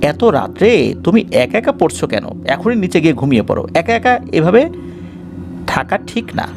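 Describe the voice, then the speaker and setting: A man's voice: high-pitched, sing-song, moderate pace, creaky, music in the background, full character voice. Man playing a stern, caring religious teacher. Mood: concerned scolding. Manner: admonishing, concerned. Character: stern but caring male madrasa teacher.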